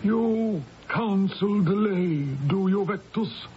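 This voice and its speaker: An actor playing a fanatical, vengeful ancient archdruid, declaiming ominously like an incantation in a 1980s radio drama. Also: male voice, sits high, takes it slowly, a little hiss or hum. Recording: muffled old radio broadcast.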